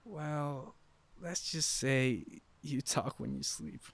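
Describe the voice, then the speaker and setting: An actor playing an affectionate husband in an audio drama, with slow, drawn-out delivery. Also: male voice, mid-range voice, sing-song, gravelly, exaggerated voice. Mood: sleepy, tender. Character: affectionate husband, turning eerily cheerful when possessed.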